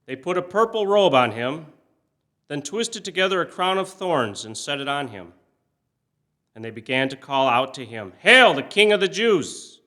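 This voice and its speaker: Bright-voiced man giving a solemn scripture reading. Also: fairly high pitch. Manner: measured, expressive, emphatic on quoted cries, falling away softly at phrase ends. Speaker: scripture reader. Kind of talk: solemn dramatic Bible reading for a church service.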